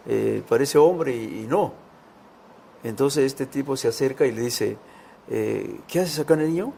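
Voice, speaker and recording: Gravelly man, halting storyteller. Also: medium pitch, warm tone, a little hiss or hum. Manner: hesitant, halting phrasing. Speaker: guest author, children's writer. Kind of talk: casual interview talk and storytelling.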